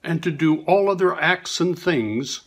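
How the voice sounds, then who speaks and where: A man's voice: on the high side, lively intonation, measured pace, a bit bright. A man reading a historical document aloud in a formal style. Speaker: volunteer reader.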